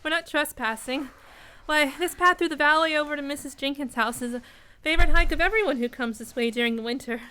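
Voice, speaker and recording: Woman acting sensible know-it-all young woman, high bright voice, brisk, radio play, audible noise. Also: rough recording. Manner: brisk, explanatory, reassuring.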